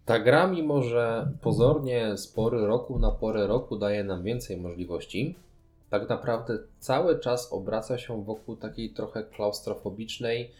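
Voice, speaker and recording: Podcast host, male, lowish voice, wordy and explanatory, conversational review delivery. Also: unhurried, a little mellow, soft background music. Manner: explanatory, wordy, long sentences. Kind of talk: conversational board game review.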